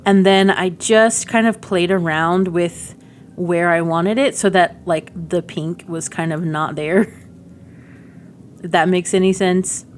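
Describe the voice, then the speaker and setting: Middle-aged woman, slightly low voice, chatty gaming creator, informal and rambling, faint rumbling noise. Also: steady tone, slow pace. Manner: rambling, giggly asides, cutesy slang. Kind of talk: casual, chatty game demo commentary.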